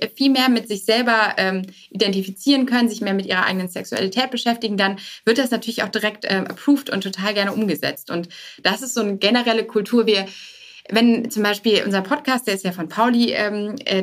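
A woman's voice: mid-range voice, a little fast, fairly bright tone. A woman speaking as a startup founder and podcast guest, answering casually and anecdotally with English business terms mixed in.

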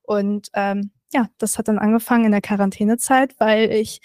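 A woman's voice: mid-range voice, steady tone, normal speed, darkish tone, no room sound. Young woman, friendly, hesitant, filler-heavy, dry close recording. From Berlin, Germany. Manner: friendly, hesitant, lots of "ähm". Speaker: guest, content creator. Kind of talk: casual interview answers. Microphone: remote recording from another room.

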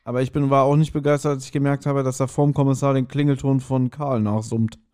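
A man's voice: mid-range voice, level tone, quick pace, darkish tone, smooth. A man co-hosting a podcast, casually discussing an audio drama and critically reasoning aloud. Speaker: podcast co-host.

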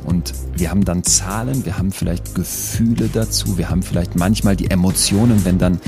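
A man closing a podcast episode with earnest, emphatic reflection. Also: low voice. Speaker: podcast host. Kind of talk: closing narration. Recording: music clearly underneath.

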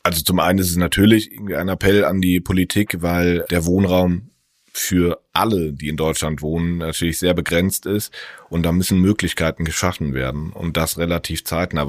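A man answering interview questions about hospital staff, measured and steady, with frequent hesitations. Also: sits low, no room sound, studio quality.

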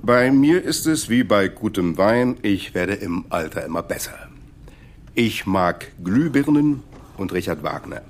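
Deepish, pompous older outgoing boss. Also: male voice, expressive, unhurried. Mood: smug, patronising. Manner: pompous, leisurely condescending. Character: self-satisfied older outgoing male boss, patronising, old-fashioned. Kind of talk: German audio drama dialogue.

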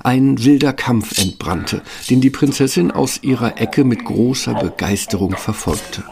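A man narrating a scripted fairy tale as a storyteller, with a gravelly, husky voice. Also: mid-range voice, unhurried, a crowd in the background.

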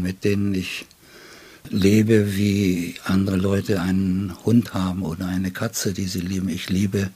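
A man in his seventies speaking reflectively as an interview guest, phrasing deliberately and haltingly with ornate word choices. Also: sits low, a little mellow, breathy edge. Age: 70s. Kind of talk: reflective literary conversation.

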